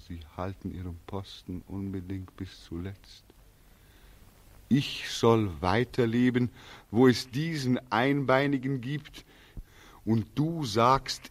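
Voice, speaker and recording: An actor playing a weary, bitter young German war veteran in a 1940s radio drama, halting and heavy with repetition. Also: male voice, medium pitch.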